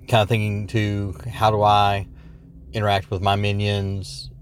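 A man with an American accent leaving a casual voice message for a podcast, speaking in a halting, reflective way. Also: fairly low pitch. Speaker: podcast call-in listener.

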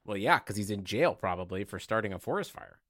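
A man's voice: lowish voice, expressive, fast talker, fairly bright tone, no echo, clean studio sound. A man co-hosting a podcast, joking casually about sports. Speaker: podcast co-host. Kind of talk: casual comedic sports chat.

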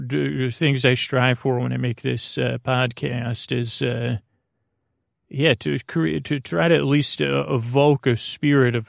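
Creaky-voiced man, halting and meandering. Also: mid-range voice, no echo, clean studio sound. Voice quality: creaky, gravelly. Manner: halting, digressive, frequent false starts and repetitions; gently silly, goofy. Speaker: podcast host. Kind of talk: rambling, meandering solo bedtime podcast monologue.

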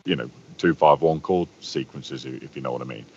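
A man with a deep, boomy voice, a bass player chatting as a guest and telling long stories. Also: fairly quick, slight background noise. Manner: talkative, storytelling. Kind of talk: casual conversation. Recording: muffled lo-fi audio.

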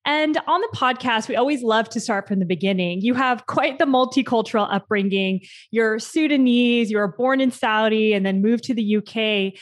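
Upbeat woman podcast host, higher voice. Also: normal speed, few pauses. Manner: warmly enthusiastic, scripted intro then chatty questions. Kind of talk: podcast interview.

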